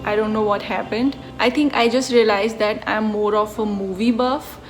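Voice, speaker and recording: A woman's voice: medium pitch, steady tone, moderate pace, soft background music. A young woman from India, talking casually to the camera in a storytime vlog. Her accent blends Indian English with a style she picked up from Hollywood films. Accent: Indian English mixed with an acquired Hollywood-style accent. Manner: chatty, talks straight to the viewer. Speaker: vlogger.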